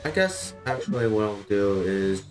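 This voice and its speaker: A male live streamer with a somewhat low voice. He talks casually and low-key as he thinks aloud through a game, with music clearly behind him. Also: sing-song, moderate pace, rough recording.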